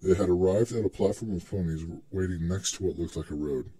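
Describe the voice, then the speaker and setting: Male narrator for scripted fiction, low register with a husky grain. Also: fairly quick, mellow.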